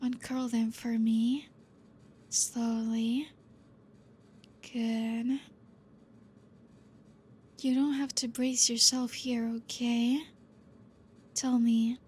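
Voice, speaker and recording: A woman voicing a gentle, possessive female vampire professor in an ASMR roleplay, talking softly and coaxingly and slipping into whispers. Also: fairly high pitch, slightly rough, exaggerated voice. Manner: soft, slow and coaxing, drifting into whispers.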